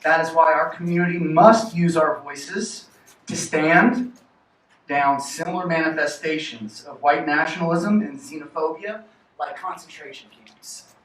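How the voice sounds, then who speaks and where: A man giving a prepared public comment at a meeting, grave and deliberate. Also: a little high, fairly bright tone. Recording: echoey hall, distant mic, faint noise.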